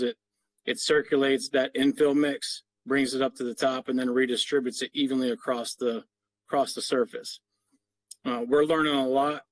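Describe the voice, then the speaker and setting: A middle-aged man presents to a meeting over a video call in an explanatory, businesslike manner. His voice sits at an average male pitch. The sound is dry, with very little room around it. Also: no room sound, poor audio. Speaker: parks maintenance official presenting. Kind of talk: slide presentation to a board meeting.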